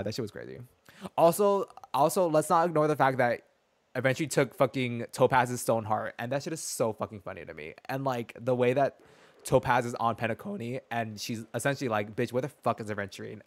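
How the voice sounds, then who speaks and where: Male streamer, 20s: mid-pitched, sassy, slangy, expressive game chat. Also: a little fast. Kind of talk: casual chat and reaction to a story game. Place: small dim room indoors.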